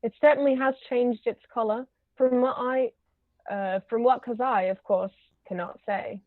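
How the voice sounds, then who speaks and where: A woman's voice: on the high side, fairly even intonation, moderate pace, no echo, narrow, tinny audio, close to an everyday voice. A woman voicing an imperious older aristocratic aunt, stately and haughty, in a table read of a stage comedy over thin call audio. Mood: haughty gossip.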